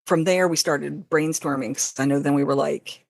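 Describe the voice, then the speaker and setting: A woman guest on a podcast, talking casually and haltingly as she searches for words. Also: sits low, fairly even intonation, vocal fry, dry recording. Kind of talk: casual chat.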